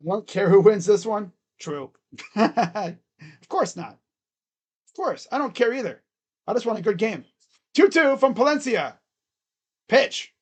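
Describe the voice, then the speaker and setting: A casual baseball play-by-play streamer with a naturally booming, loud delivery. The host is relaxed and talky, filling the gaps between pitches with chatter and idle humming. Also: unhurried, pauses often, slightly rough. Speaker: live-stream baseball commentator. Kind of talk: casual live play-by-play reaction.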